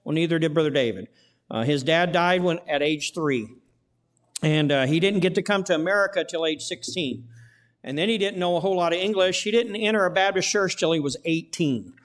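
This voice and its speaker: A male pastor with a slightly high voice leads his church through announcements and a prayer. He speaks in a folksy way, repeating words and filling gaps with "uh". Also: a little fast. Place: church sanctuary.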